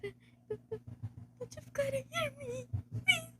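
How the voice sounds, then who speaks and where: Woman acting a frantic, very high-pitched invalid wife. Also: a little slow, bright, forceful tone, a little hiss or hum, exaggerated voice. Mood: terrified, pleading. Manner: urgent, stammering, pleading. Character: frantic, nervous, demanding invalid wife home alone. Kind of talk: amateur school radio play acting.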